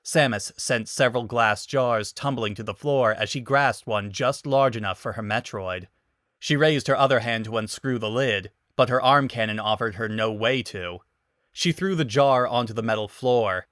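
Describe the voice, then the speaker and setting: A man's voice: mid-range voice, moderate pace, a bit bright, no room sound, studio quality. A man giving a dramatic scripted narration of a story.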